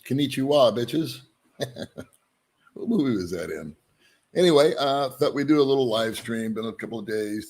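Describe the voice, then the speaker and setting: Middle-aged guy chatting on his live stream, lowish voice, cracks jokes about himself, says hi to folks by name. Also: husky. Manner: joking, self-deprecating, greets viewers by name. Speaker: vlogger hosting a live stream. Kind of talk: casual live-stream chat with viewers.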